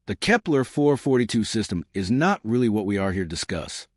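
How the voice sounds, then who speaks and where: Man voicing a calm, gentle human diplomat. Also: lowish voice, brisk, dry recording, clean studio sound. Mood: gentle, solemn. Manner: soft-spoken, gentle, certain. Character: quiet, calm, compassionate human male diplomat.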